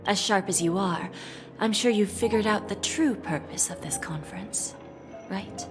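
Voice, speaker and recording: A woman performs a silky senior female executive in a video game. Her voice is mid-pitched and breathy, often near a whisper, with a coaxing, calculating poise, and music plays clearly behind her. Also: a little slow, close to an everyday voice. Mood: calculating, composed.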